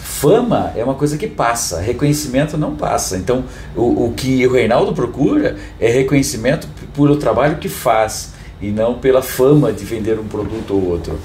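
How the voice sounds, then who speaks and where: Hoarse Brazilian man, emphatic and repetitive. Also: medium pitch, expressive, a little mellow. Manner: emphatic, repeats phrases, halting restarts. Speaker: podcast host, hair tools business owner. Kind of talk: casual podcast conversation. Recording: roomy echo, faint background noise.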